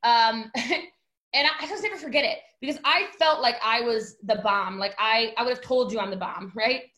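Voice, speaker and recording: A woman in her thirties, a guest telling a story in casual chat, animated and acting out quotes with lots of fillers. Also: on the high side, moderate pace.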